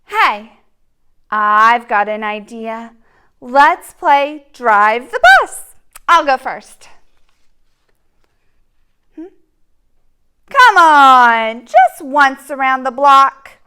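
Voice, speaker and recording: A woman's voice: high-pitched, sing-song, very slow, pauses often, pushed and bright, smooth, exaggerated voice. A woman voicing a childlike, wheedling pigeon from a storybook, begging and bargaining with a tantrum streak. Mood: excited, pleading.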